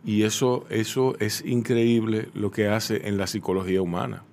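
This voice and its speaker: A man's voice: fairly low pitch, level tone, moderate pace, a little mellow. A man with a Dominican accent hosting a casual radio chat, emphatic and prone to repeating his phrases. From Santo Domingo, Dominican Republic. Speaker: radio interview host. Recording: faint background noise.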